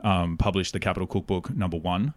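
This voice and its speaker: Aussie guy in his 30s rambling casually on a podcast, lowish voice, really dry recording. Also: fairly even intonation, brisk, dry recording. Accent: Australian. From Australia. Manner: rambling, informal storytelling with slang. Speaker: podcast guest, cookbook publisher. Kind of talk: candid casual interview chat.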